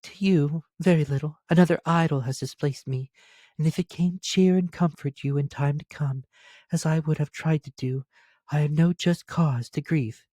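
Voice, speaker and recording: Male reader as gentle young woman, raised pitch, soft, earnest, grieving, measured, storybook heroine, close dry sound. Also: fairly even intonation, dry recording, close to an everyday voice. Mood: sorrowful, resigned. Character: gentle, sorrowful young woman releasing her betrothed.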